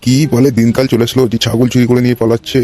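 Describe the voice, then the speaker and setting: A man voicing an indignant, grumbling village goat owner with loud, coarse talk in a comedy cartoon. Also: mid-range voice, completely flat delivery, rapid-fire, background noise, lightly acted. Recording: music behind the voice.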